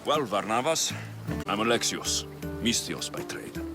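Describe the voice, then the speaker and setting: An actor playing a cocky young Greek mercenary in a video game, wry and self-assured. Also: male voice, on the low side, animated, a little slow, over music. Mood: matter-of-fact. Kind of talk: video game cutscene acting.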